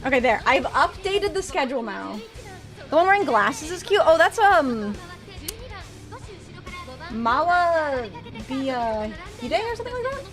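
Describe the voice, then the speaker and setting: A young woman streaming a game, chatting with her viewers and cheering loudly through the races, though her voice is growing worn. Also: high-pitched, very animated, a little slow, pauses often, soft background music. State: losing her voice. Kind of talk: live game stream commentary with chat.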